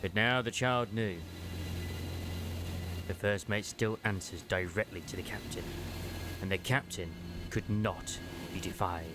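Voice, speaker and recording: A man's voice: fairly low pitch, fairly even intonation, measured pace, long pauses, noisy background. A man giving a dramatic, scripted narration of a story as a fan dub. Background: music.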